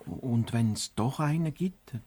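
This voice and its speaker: An actor playing a timid, worried hedgehog sidekick in a children's radio play, anxious and naive, with a Swiss German accent. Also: male voice, medium pitch, expressive, slow pace, warm tone, no echo.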